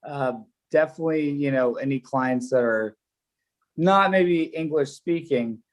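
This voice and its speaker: A man's voice: a little high, measured pace. Polish-born man explaining mortgage steps. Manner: explanatory, loose, lots of fillers and restarts. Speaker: loan officer. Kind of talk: casual live-stream Q&A, explaining mortgages.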